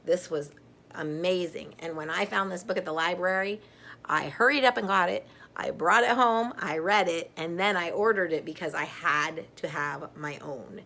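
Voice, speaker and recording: A middle-aged woman reading a picture book aloud to children, gently and deliberately, with chatty asides. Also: on the low side, creaky.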